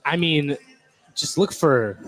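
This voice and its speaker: American male color commentator, slightly high voice. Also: takes it slowly, a bit bright, faint music behind, low-quality recording. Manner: conversational, informal. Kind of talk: casual live basketball analysis.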